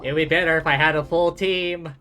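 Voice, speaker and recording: A woman's voice: deep voice, fairly even intonation, fairly quick. A woman giving casual, slangy live commentary as a game streamer. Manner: informal, slangy. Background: loud game music.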